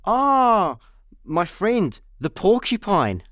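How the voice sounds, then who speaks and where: A man's voice: sits high, big pitch swings, extremely slow, a bit bright, no echo, clean studio sound, heavily acted. A man with a Scottish accent playing a cocky, flippant space layabout in a comic sci-fi radio play. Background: music.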